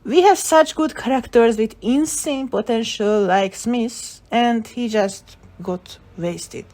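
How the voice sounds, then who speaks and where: A woman's voice: a little high, slow pace, a little mellow. Woman giving casual theory commentary. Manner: speculative, opinionated. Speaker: video creator analysing game story. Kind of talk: casual video essay commentary.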